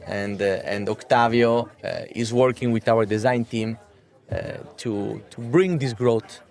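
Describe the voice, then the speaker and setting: A man of thirty answering interview questions in a halting way, often repeating words. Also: lowish voice, gravelly, a little mellow. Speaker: watch brand executive being interviewed.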